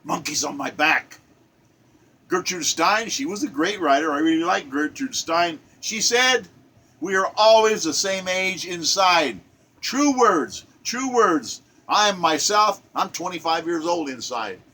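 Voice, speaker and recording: A man's voice: fairly high pitch, animated, a little slow, sharp tone, breathy edge. A man in his seventies speaking with passion and emphasis, often repeating phrases for stress as he introduces a poem. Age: 70s. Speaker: poetry reader. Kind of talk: impassioned spoken commentary and poetry reading.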